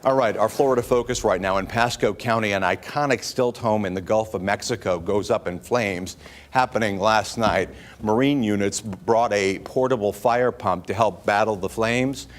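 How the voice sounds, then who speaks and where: American guy anchoring local tv news, lowish voice, reading stories off script. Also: normal speed. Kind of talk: scripted newscast with brief chat. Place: TV news studio.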